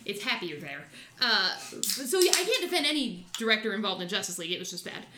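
A woman's voice: mid-range voice, sing-song, quick pace, background noise. A woman co-hosting a casual chat about films and comics, blunt and opinionated, swearing freely. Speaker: podcast co-host. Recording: roomy echo.

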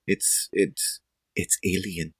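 A man's voice: deep voice, little pitch movement, slow pace, slightly rough, no echo, full character voice. A man playing a small, controlled woman scientist in a tabletop game, speaking hesitantly and carefully. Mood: careful, intrigued.

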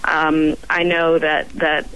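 A woman scientist guest on a radio roundtable, croaky from a cold, speaking haltingly with many pauses and restarts. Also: low voice, monotone, fairly bright tone, rough recording.

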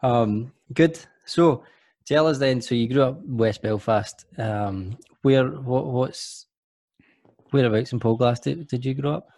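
A man's voice: medium pitch, slow, darkish tone, dry recording. A man hosting a podcast interview, chatty and jokey, often restarting his sentences. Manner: rambling, self-correcting, jokey. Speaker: podcast host.

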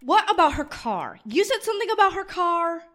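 A woman's voice: very high voice, very animated, normal speed, a bit bright, exaggerated voice. A woman playing a defiant, blunt young blind college student in an audio drama, sarcastic and demanding. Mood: angry, impatient. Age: young adult.

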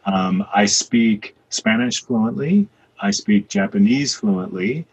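A man's voice: on the low side, animated, slow, slight background noise. A middle-aged man from the American Midwest with a Midwestern accent, telling stories in a rambling, thoughtful way as an interview guest. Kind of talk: casual interview.

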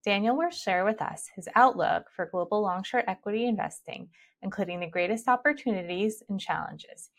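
A woman with a middle-pitched voice hosts a podcast. She introduces her guest and asks her questions in a polite, smooth, scripted way. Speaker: podcast host.

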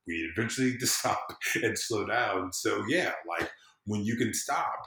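A man's voice: lowish voice, lively intonation, normal speed, bright, forceful tone, a little hiss or hum. A man from the American South chatting casually and conversationally as a podcast guest. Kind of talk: casual chat. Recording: echoey room sound.

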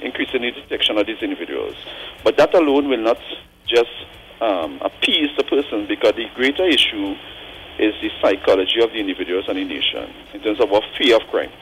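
A man in his forties calling in to a radio show, thinking aloud earnestly with frequent pauses and tag questions like "right?". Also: medium pitch, fairly bright tone, poor audio. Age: 40s. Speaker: caller. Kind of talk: call-in radio commentary.

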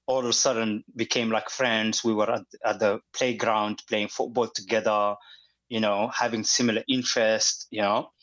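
A man's voice: on the low side, fairly bright tone. Hesitant Somali-born man, muffled audio. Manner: hesitant, many fillers and restarts. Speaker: guest. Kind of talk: conversational interview. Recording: dull lo-fi audio.

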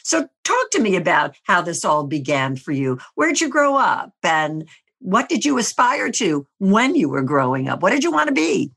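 A woman's voice: on the low side, sing-song, moderate pace, fairly bright tone. A woman hosting an interview show, reading a polished introduction and then asking inviting questions.

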